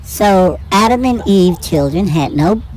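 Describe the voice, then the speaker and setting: A woman's voice: mid-range voice, expressive, measured pace, darkish tone, lightly acted, low-quality recording. A woman playing an inquisitive nine-year-old boy, earnest and questioning, in an amateur audio drama. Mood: curious. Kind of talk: amateur Bible-themed audio drama acting.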